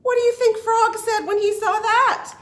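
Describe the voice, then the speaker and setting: A woman working as a children's librarian, hosting a read-aloud storytime in an animated, encouraging way with simple phrasing for small children. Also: very high-pitched, normal speed, echoey room.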